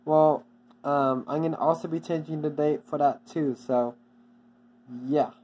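A channel owner giving a casual, off-the-cuff update, halting and repeating itself often with many restarts. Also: medium pitch, fairly even intonation, highs cut off, a little hiss or hum.

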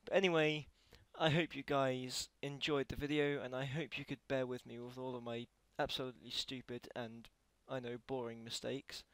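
A man narrates a casual screen-recorded tutorial in a slightly low voice with noticeable creak. He speaks haltingly and corrects himself as he works. The recording is dry and close. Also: level tone, fairly bright tone, no echo. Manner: halting, self-correcting while typing. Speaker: tutorial creator.

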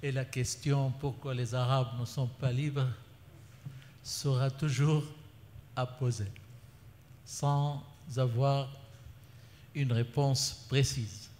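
A male moderator speaks very slowly and haltingly into a handheld mic. A long hall reverb and a faint room hum sit around his voice. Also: medium pitch, level tone, a little mellow. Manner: slow, halting introductions. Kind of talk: panel moderation. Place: large conference hall.

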